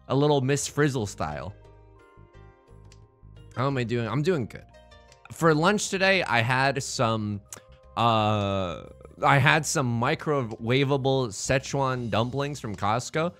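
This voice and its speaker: A man from China hosting a let's play, riffing through his game with running jokes and internet slang. His voice sits at an ordinary middle pitch but swings widely as he talks. Quiet game music plays underneath. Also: expressive, unhurried. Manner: jokey, rambling, playful internet slang. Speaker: gaming channel host.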